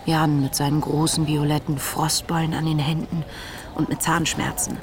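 Hushed, low-voiced young woman diarist, played by an actress. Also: little pitch movement, moderate pace, noisy background, lightly acted. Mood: sober, reflective. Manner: hushed, reflective. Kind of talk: intimate diary narration in radio drama.

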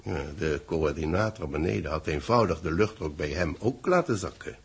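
A measured, knowing newspaper man from a 1960s Dutch radio play. His voice is deep and very husky, with a gravelly creak. He explains things deliberately to his secretary. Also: expressive, full character voice. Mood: explaining, grave.

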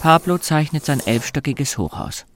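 A man's voice: fairly high pitch, lively intonation, normal speed, fairly bright tone, a little hiss or hum. A man narrating a German radio drama in a composed, observational, literary style.

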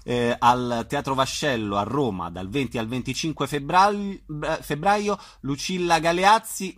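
Higher-voiced man hosting radio. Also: fairly bright tone. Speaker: radio host interviewing theatre guests. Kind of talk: conversational radio interview.

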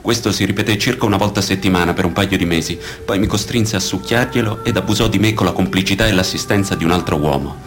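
A man's voice: low voice, quick pace, few pauses, over music, natural voice. A man reading scripted reports and testimony in a radio documentary play. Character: male victims recounting teenage abuse by priests.

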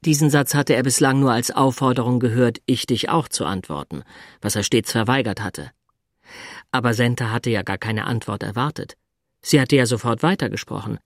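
A man's voice: mid-range voice, moderate pace, no echo, studio quality, lightly acted. An actor playing a plain-spoken, brooding man in love, telling his thoughts in a literary audio drama. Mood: reflective.

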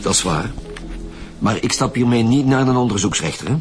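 A man's voice: medium pitch, animated, fairly quick, close to an everyday voice. A man with a Flemish accent playing a stern, cautious lead detective in a radio drama. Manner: gruff, stern, authoritative. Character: gruff, cautious male police chief. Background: music.

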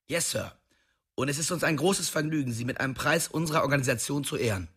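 Cheeky, husky young man. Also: on the high side, quick pace, a bit bright. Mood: mock official. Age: young adult. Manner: cheeky, glib. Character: cheeky young prankster, narrator's small-town best buddy. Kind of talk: radio drama acting.

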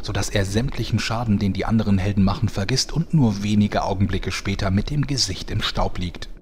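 A man's voice: lowish voice, a little fast, darkish tone, a little hiss or hum, natural voice. A man playing a mock-serious, formal narrator who commentates a fantasy battle in a parody audio drama. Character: pompous deadpan storyteller commentating a fantasy battle.

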